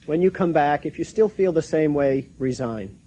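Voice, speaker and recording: Mellow American man reading a formal introduction. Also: fairly high pitch, animated, moderate pace. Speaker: seminar host introducing speakers. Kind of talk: formal scripted introduction read aloud.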